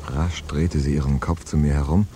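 An actor playing a laconic, world-weary hard-boiled man in a radio crime play, understated and deadpan. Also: rumbling low, normal speed, background noise. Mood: deadpan, reflective. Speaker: narrator and lead character in a radio crime play. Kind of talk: scripted hard-boiled first-person narration and dialogue.

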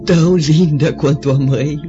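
An actress with a Brazilian accent playing a devoted, tender maidservant of a Roman house in a radio drama, reverent and wistful. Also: female voice, low-pitched, unhurried. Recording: dull lo-fi audio, music clearly behind.

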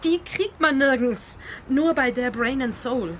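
A high voice of an aggrieved female patient in a radio drama, demanding and snappish. She, and faint ambient noise sits behind her. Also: lively intonation, a little slow, a bit bright. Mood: wistful, needy. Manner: indignant, snappish.

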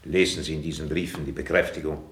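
A deep, grave courtier. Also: male voice, fairly even intonation, fast, slight background noise. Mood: grave, restrained. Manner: formal, restrained. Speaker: actor in a radio drama. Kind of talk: classical 1950s German stage-style radio acting. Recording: echoey room.

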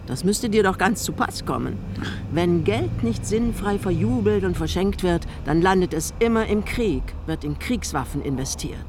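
A woman's voice: on the low side, lively intonation, moderate pace. An actress playing a blunt, sardonic woman in her eighties, an eccentric wealthy mother, in radio play dialogue. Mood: dismissive. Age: 80s. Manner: blunt, capricious, mocking, darkly amused. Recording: noisy moving car ambience.

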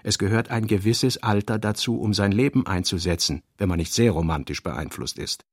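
An actor playing a brooding novelist narrator in a literary radio play, reciting narration and fragmentary notes in a measured, deliberate way. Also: male voice, fairly low pitch, husky, no room sound, clean studio sound. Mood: reflective.

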